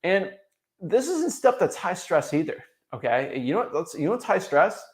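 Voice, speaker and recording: A young man from Florida giving an energetic motivational talk, full of restarts and tagging his points with "right?". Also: sits high, normal speed. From Florida, USA. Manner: energetic, repetitive tag "right?", frequent restarts. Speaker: real estate wholesaling coach.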